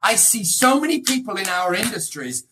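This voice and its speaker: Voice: mid-range voice, big pitch swings, normal speed, background noise. A middle-aged speaker from England giving a motivational talk to an audience in an emphatic, conversational way, often checking in with short rhetorical tags. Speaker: motivational speaker, real estate agent.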